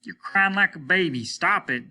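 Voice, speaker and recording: A domineering starship captain from a sci-fi storybook, acted out by a male reader. His voice is bright and forceful, pitched up and full of scorn. He issues orders and talks down to those around him. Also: lively intonation, normal speed, full character voice. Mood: stern, condescending. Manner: loud, commanding, condescending. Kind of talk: dramatic audiobook reading.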